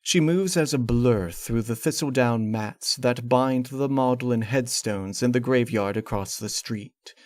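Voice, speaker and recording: A man's voice: medium pitch, unhurried, few pauses, dry recording. A man reading a scripted gothic horror story in the first person, with formal, theatrical and precise phrasing. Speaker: narrator reading fan fiction.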